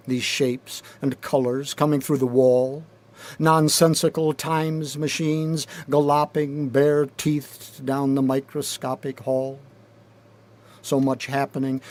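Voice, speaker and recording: A man reading his own poetry aloud in a deliberate, rhythmic, dramatic spoken-word style, recorded in a studio. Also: mid-range voice, a little mellow. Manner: deliberate, measured, rhythmic delivery with pauses. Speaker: poet reading own work.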